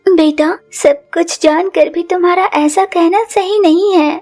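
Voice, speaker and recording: A woman's voice: very high voice, steady tone, unhurried, a little mellow, faint music behind, pitched above the performer's own voice. A woman voicing a poor, tired mother bird in a cartoon, explaining earnestly to her daughter. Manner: patient, earnest.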